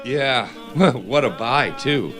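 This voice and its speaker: A man playing a reclusive, defensive record collector in an audio drama, talking in a halting, hesitant way. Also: medium pitch, expressive. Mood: pleased. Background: music.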